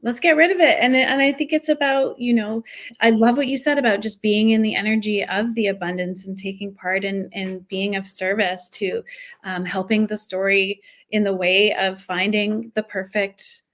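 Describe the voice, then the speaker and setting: A woman with a soft voice chatting casually about acting as a coach, hesitant and full of fillers. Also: mid-range voice, fairly even intonation, normal speed. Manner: hesitant, many fillers and restarts. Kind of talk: casual conversational chat.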